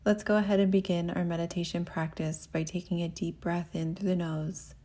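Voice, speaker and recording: A woman meditation teacher leading a guided meditation in a gentle, soothing, unhurried way. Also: lowish voice, little pitch movement.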